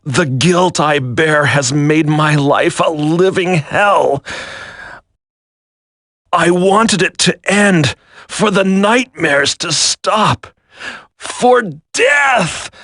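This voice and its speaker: Embittered soldier haunted by guilt, slow solemn confession, game drama, dry close sound. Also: male voice, on the high side, fairly bright tone, no echo, heavily acted. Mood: anguished. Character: guilt-ridden embittered male soldier turned terrorist confessing. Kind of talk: dramatic video game voice acting.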